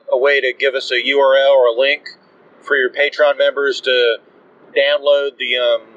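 A man with an average-pitched voice leaves a casual message for a podcast. The recording is somewhat muffled and lo-fi. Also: a bit bright. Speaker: podcast caller. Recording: dull lo-fi voice message.

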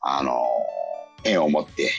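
Guy radio host, really low voice, chatty and halting, faint music behind. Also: fairly bright tone, dull audio. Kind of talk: casual radio talk.

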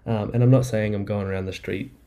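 A man hosting a casual solo podcast, rambling and upbeat, a bit nasal and croaky from the flu, recorded on a laptop mic in an apartment. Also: on the low side, steady tone, fast, dark tone. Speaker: podcast host. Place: apartment indoors. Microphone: laptop microphone.

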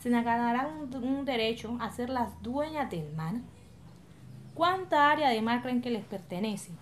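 A woman's voice: fairly high pitch, lively intonation, unhurried, slight background noise. A woman with a Colombian accent acting an encouraging, patient village leader in an educational radio drama, explaining things colloquially. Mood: questioning, explaining. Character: encouraging, patient woman leading her coastal village community.

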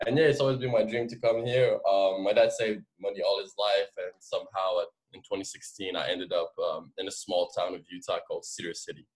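A man's voice: low voice, fairly even intonation, a little fast, few pauses, a little mellow, low-quality recording. A man in his twenties from France with a French accent, telling his story casually and informally as an interview guest, peppering it with filler phrases. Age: 20s. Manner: informal, explanatory, frequent "like" and "you know". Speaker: podcast guest.

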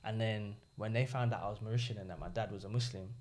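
A man's voice: lowish voice, fast talker. A young man, a podcast guest telling stories in casual chat and acting out the people he quotes. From England. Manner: animated storyteller, acts out quoted voices.

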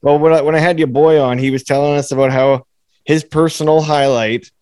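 A man hosting an interview in casual chat, his voice raspy, blunt and swearing freely. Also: fairly high pitch, fairly even intonation, a little fast, a little mellow, dry recording. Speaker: podcast host.

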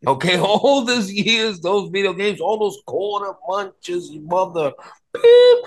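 A man chatting casually as a podcast guest, animated and slangy, repeating phrases for emphasis. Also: sits high, slow pace. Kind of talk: casual chat.

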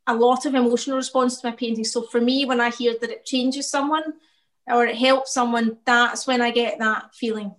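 A woman's voice: fairly high pitch, fairly even intonation, normal speed, fairly bright tone. A woman painter talking reflectively about her work in a casual interview, often laughing.

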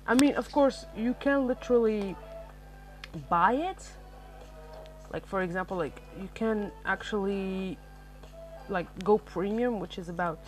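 A woman's voice: mid-range voice, rough recording. Rambling woman podcaster, Arabic native. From Algeria. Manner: rambling, self-correcting, lots of fillers. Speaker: podcast host. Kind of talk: solo podcast talk on writing.

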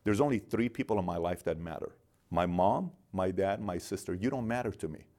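A middle-aged man from Iran with a fairly low voice talks through his story as an interview guest. He acts out both sides of every conversation and keeps the tale moving with lively pacing. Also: a little fast. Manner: animated storyteller, acts out dialogue in quotes. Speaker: guest, business founder.

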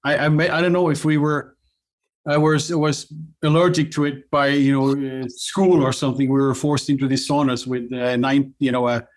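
A middle-aged man from Norway with a Norwegian accent, a company cofounder chatting as an interview guest, often restarting and filling pauses with "uh". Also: medium pitch, level tone. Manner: halting, many restarts and fillers. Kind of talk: casual interview chat.

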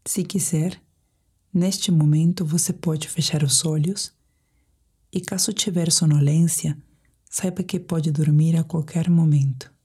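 A Brazilian woman guiding a sleep meditation in a soft, whispery, breathy voice, speaking in a calm, measured, soothing way. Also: low voice. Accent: Brazilian Portuguese. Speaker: meditation guide.

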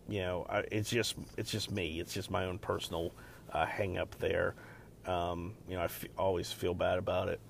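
Man, very deep gravelly voice, loud, rambling podcast host, faint rumble behind. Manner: rambling, many fillers and restarts. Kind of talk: casual solo podcast chat.

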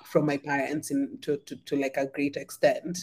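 A woman chats as a podcast guest. Her throat is a little sore. She rambles warmly through her memories with lots of fillers and easy laughter. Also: sits low, level tone, moderate pace, breathy edge. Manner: chatty, many "like" fillers, laughs often. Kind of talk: casual conversation.

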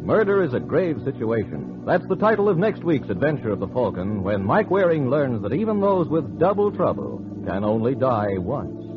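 A man's voice: medium pitch, huge pitch swings, normal speed. A man reading as a scripted announcer in vintage radio style. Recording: muffled old radio recording. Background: organ music.

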